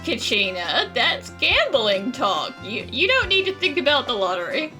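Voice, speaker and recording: A woman's voice: sits high, huge pitch swings, normal speed, sharp tone, soft background music, full character voice. A woman voicing a cool, laid-back young woman in a video game, playful, amused and teasing. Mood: gently chiding. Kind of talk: video game character acting.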